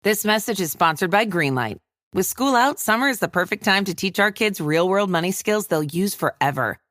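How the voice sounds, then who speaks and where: A woman's voice: mid-range voice, animated, moderate pace, fairly bright tone, no echo, clean studio sound. A woman announcer reading a scripted sponsor advertisement in an instructional sales delivery. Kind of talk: scripted ad read.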